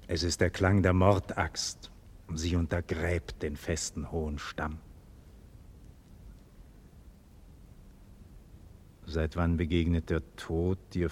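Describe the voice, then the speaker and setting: A proud captive nobleman in an old radio drama. His low, husky voice declaims solemnly, musing on death and freedom with deep feeling. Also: darkish tone, full character voice. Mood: brooding, weary. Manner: solemn, declamatory, swelling from murmur to ardour. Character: noble imprisoned hero brooding on death and freedom. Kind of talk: 1940s German radio play acting, classical monologue.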